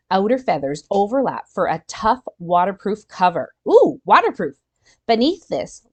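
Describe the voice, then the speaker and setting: A woman hosting a children's storytime, reading a picture book aloud expressively, explaining words simply and asking her young listeners questions. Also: fairly low pitch. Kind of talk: animated read-aloud with asides to young listeners.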